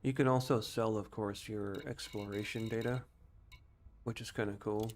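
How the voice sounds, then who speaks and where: A man's voice: lowish voice, steady tone, normal speed, slight background noise. A man giving casual gameplay commentary as a streamer, thinking aloud and explaining things informally. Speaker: game streamer.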